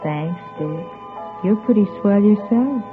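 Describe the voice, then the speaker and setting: A woman's voice: medium pitch, lively intonation. An actress playing an alluring, confident dream woman, teasing and coaxing in old radio suspense style. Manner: slow, coaxing, sultry. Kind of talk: golden-age radio suspense acting. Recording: tinny old radio recording, faint music.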